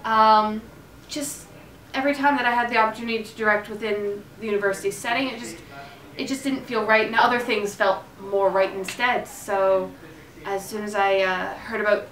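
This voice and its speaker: A woman's voice: medium pitch, fairly even intonation, moderate pace, a bit bright. Distant, echoey woman, enthusiastic interviewee. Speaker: amateur theatre director being interviewed. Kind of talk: unscripted interview talk. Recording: roomy echo, distant microphone.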